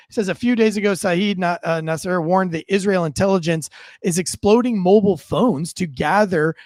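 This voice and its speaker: High-pitched American man giving news commentary. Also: dry recording, studio quality. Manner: conversational, reads out news reports with asides. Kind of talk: informal breaking-news commentary.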